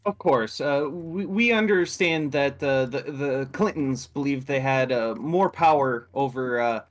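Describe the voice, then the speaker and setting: A man roleplaying a diplomatic envoy over a voice call, polite and a little halting. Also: mid-range voice. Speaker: player roleplaying a South Korean envoy.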